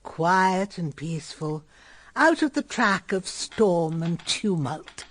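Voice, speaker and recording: An actress playing a gentle, shrewd elderly grandmother in a period radio drama, speaking in a tender, reflective and knowing manner. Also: fairly low pitch, animated, slow pace. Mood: serene. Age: older.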